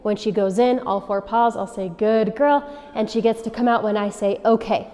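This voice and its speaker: A woman dog trainer calmly explaining and demonstrating commands step by step. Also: medium pitch, normal speed. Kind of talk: instructional demonstration. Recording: long reverb from a large room.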